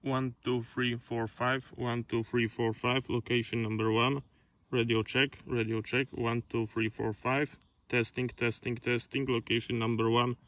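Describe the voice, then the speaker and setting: A man making repetitive radio test calls in deliberate, clipped phrases. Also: mid-range voice, little pitch movement, fairly bright tone. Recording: faint noise, clicks, radio test audio.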